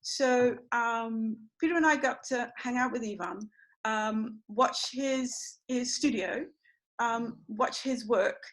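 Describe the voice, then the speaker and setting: A woman's voice: fairly high pitch, takes it slowly, fairly bright tone, poor audio. A woman hosting an online symposium over a video call, chatty and full of small fillers. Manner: chatty, storytelling, many fillers. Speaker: symposium host.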